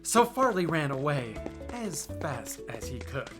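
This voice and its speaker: A woman reads a children's picture book as a storytime host. Her delivery is playful and very lively in pitch, taken at a slow pace, and she talks directly to her listeners. Music plays clearly behind her. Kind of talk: expressive children's picture-book read-aloud.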